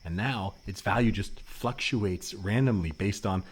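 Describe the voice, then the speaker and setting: A man improvising an earnest, suspicious former anarchist in a comedy podcast, explaining things in a halting, rambling way. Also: fairly low pitch, husky. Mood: earnest, conspiratorial.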